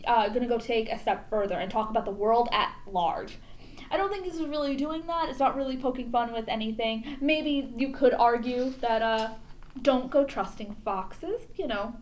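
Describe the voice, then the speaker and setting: Female storytime presenter: notably high register, animated melodic range, casual explanatory asides between read-aloud passages.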